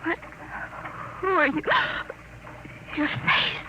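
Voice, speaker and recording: A woman's voice: high voice, steady tone, slow, sharp tone, full character voice. A woman acting a frightened, indignant young captive, flustered and protesting, in a 1950s radio drama style. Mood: alarmed. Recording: very noisy, dull 1950 radio recording.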